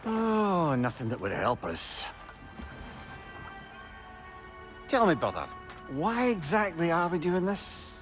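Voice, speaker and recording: A chatty, sardonic sidekick in a game drama. His voice sits fairly high and moves up and down expressively, with dry wit, over clear background music. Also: big pitch swings, a little slow. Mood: wry, questioning. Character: witty, talkative male companion, wry self-proclaimed wisest man. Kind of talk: dramatic video game acting.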